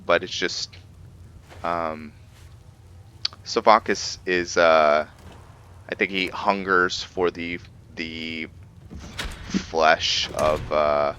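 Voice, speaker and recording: A man giving casual gameplay commentary for a roleplay let's play, thinking aloud in a halting way. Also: sits low, a bit bright, clear, resonant tone.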